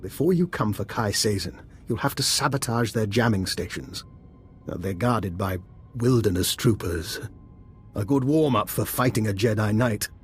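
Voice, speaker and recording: An actor playing a resourceful, composed doctor and schemer in a video game, speaking in a measured, persuasive way. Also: male voice, on the low side, expressive, soft background music, lightly acted. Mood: calm, persuasive. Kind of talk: video game cutscene acting.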